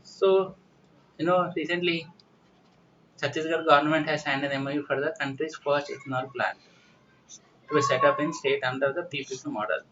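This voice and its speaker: A man's voice: fairly high pitch, moderate pace, long pauses. A man from India with an Indian accent giving an informal, explanatory current affairs lecture as a teacher, repeating points instructively. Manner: repetitive, instructive, with "you know" tags. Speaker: exam-prep teacher. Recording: distant mic, muffled lo-fi, faint noise.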